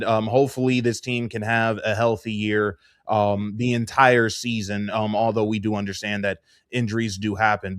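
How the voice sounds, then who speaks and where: A man's voice: medium pitch, no echo. Sports interviewer, man, dry close sound. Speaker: interviewer, sports show host.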